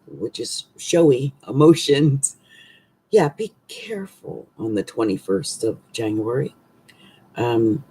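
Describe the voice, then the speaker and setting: A hoarse, husky-voiced woman talks slowly through an astrology forecast, her tone dark and mellow. She rambles, circling back with ums and restarts. It sounds like a webcam in a small room at home. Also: a bass voice, low-quality recording. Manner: slow, rambling, many fillers and restarts. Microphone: webcam at desk.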